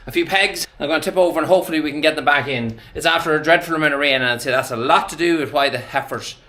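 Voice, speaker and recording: A man's voice: on the high side, very fast, sharp tone, no room sound. An Irish man, a farmer, casually explaining his work to camera in a down-to-earth, mildly sweary way. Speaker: farmer and farm vlogger. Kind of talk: casual vlog commentary while working. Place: outdoors in cattle fields. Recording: very noisy outdoor farm, cattle mooing.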